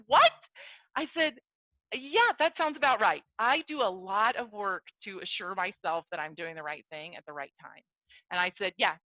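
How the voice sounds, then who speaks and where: A woman's voice: fairly low pitch, no room sound. A woman in her thirties speaking on a video call in a chatty, self-deprecating way, and acting out both sides of a conversation she recounts. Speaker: business networking speaker. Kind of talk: casual motivational talk. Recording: dry, tinny phone-like audio.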